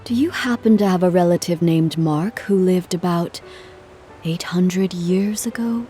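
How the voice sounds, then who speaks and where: A woman playing a courteous, reflective, dignified travelling performer in a video game, with measured formal delivery. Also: lowish voice, dark tone, natural voice. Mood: curious. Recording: music under the voice.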